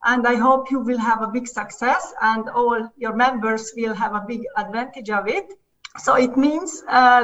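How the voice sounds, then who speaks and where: A woman's voice: a little high, a bit bright. A woman moderating a conference session, talking with frequent fillers and restarts. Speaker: forum moderator. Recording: distant, dull online conference audio.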